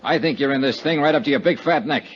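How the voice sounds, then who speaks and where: An American actor playing a tough, wry insurance investigator in a clipped 1950s radio detective style. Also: male voice, mid-range voice, fast, close to an everyday voice. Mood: defiant, hard. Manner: clipped, hard-boiled delivery. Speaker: lead actor and narrator of a radio serial. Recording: dull 1950s radio recording.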